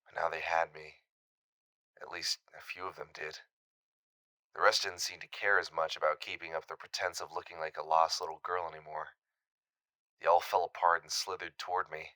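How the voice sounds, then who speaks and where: An actor playing a shaken, haunted man in an audio drama, recounting a terrifying encounter in a halting, hesitant voicemail monologue. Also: sits low, flat delivery, sharp tone, no echo. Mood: haunted, horrified, then tense, urgent.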